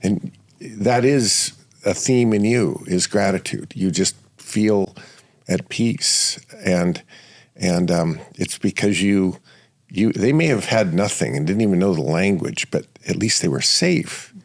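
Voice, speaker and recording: Slow, gravelly-voiced man hosting. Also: low voice. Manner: halting, many restarts and fillers. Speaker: podcast host. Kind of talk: reflective interview conversation. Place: Seattle area studio.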